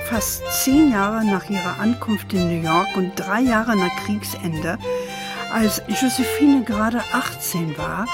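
A spirited old grandmother tells a family tale in a children's radio play. She speaks slowly and with measure, in a mid-pitched voice, with music behind her. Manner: unhurried, measured storytelling. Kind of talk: children's radio drama storytelling.

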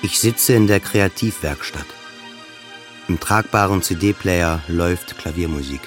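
Deep-voiced brooding man. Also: faint music behind. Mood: flat resignation. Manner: halting, repetitive, introspective monologue. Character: depressed forty-year-old male patient, brooding, self-doubting narrator. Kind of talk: radio drama monologue.

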